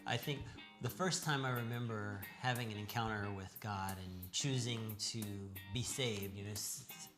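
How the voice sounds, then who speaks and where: An American man casually telling a personal testimony in a halting, conversational way. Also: on the low side, over music. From Tucson, United States. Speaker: guest sharing testimony.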